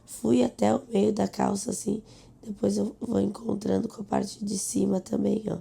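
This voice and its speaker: A woman, hoarse and losing her voice, hosts a live sewing tutorial, explaining steps and checking in with her viewers. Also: medium pitch, flat delivery, moderate pace, mellow. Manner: explanatory, with frequent "tá?" and "né?" check-ins. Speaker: sewing instructor and host.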